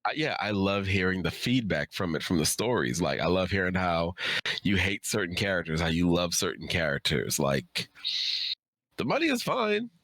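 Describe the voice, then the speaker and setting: A man with a low, forceful voice talks casually as an author guest on a stream. His delivery is candid and emphatic, often repeating himself, and the sound is dry with no room echo. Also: very animated, normal speed. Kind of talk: casual chat.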